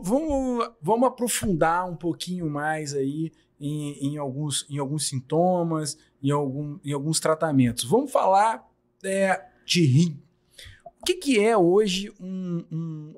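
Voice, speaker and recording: A man with a fairly high voice hosts a podcast interview. He asks polite, formal questions and stammers a little as he gets them started. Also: a little slow, darkish tone. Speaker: podcast host.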